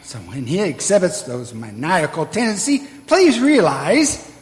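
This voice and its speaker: A man's voice: a little high, very animated, a little slow. A man playing a nervous, pompous and irritable examiner in an old-time radio play, fussy and easily flustered. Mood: pompous, accusing. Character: nervous, officious, irritable male store examiner. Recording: hall stage recording with reverb.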